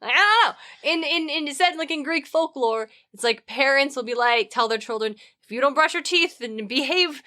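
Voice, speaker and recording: A woman's voice: high-pitched, expressive, moderate pace, hardly any pauses, sharp tone, no room sound, studio quality. A woman co-hosting a podcast, chatting casually and informally about mythology as she thinks aloud. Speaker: podcast co-host.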